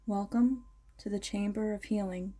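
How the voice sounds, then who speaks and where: A woman leading a guided meditation in an ASMR style, speaking in a ceremonial, measured and soothing manner. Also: mid-range voice, fairly even intonation, mellow.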